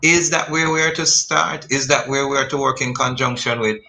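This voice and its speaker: A man's voice: medium pitch, level tone, fairly quick, pushed and bright. A man hosting a radio talk show, asking earnest probing questions and reading out listener greetings. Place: radio studio.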